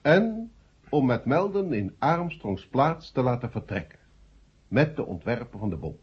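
An actor playing a pompous, sardonic committee chairman, husky and oratorical, in an old radio drama. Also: mid-range voice, sing-song, a little slow, full character voice. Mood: stern, formal. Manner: oratorical, emphatic. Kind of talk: 1970 radio drama speech. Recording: dull old radio recording.

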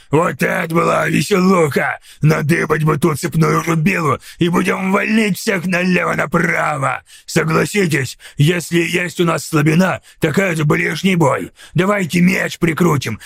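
A battle-happy orc underling put on by a male narrator for a fantasy audiobook. He is gleeful and wheedling, with a very creaky, husky voice and slightly raised pitch. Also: unhurried, hardly any pauses, full character voice. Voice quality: raspy. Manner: excitable, wheedling.

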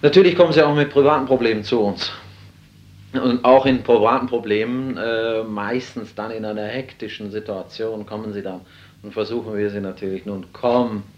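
A man's voice: lowish voice, steady tone, normal speed. A man who manages a department store, answering an interview hesitantly with many fillers. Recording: dull lo-fi tape.

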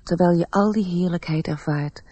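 A woman narrating a radio play fairy tale as a gentle, reflective storyteller with a husky, measured voice. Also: on the low side, dry recording. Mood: melancholy.

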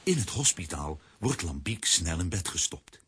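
A man's voice: sits low, very animated, moderate pace, fairly bright tone, husky, full character voice. A man narrating a scripted children's adventure story as the storyteller of a radio play.

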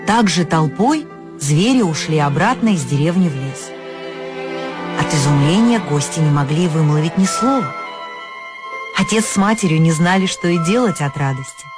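Low-voiced woman reading a fairy tale. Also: moderate pace, over music. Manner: expressive, lifts pitch on key words. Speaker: storyteller. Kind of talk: scripted fairy-tale narration.

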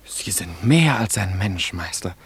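Breathy, awestruck travelling student. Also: male voice, deep voice, expressive, a little slow, no echo, exaggerated voice. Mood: reverent. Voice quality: breathy, husky. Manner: hushed, reverent, effusive. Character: awestruck, reverent male travelling student, flattering and humble. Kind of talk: theatrical German radio play acting.

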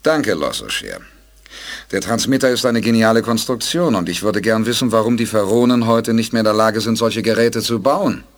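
A man playing a calm, authoritative space commander in a German audio drama, speaking in a composed, deliberate way and courteously probing for answers. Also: on the low side.

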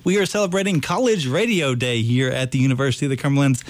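A man's voice: medium pitch, fast. College radio host, man. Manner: friendly, with frequent fillers and restarts.

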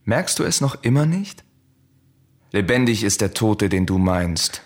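An actor playing a cold, vengeful young prince in a radio play of Greek tragedy, stern and commanding with a husky voice. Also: male voice, lowish voice, sing-song, unhurried. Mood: cold, taunting.

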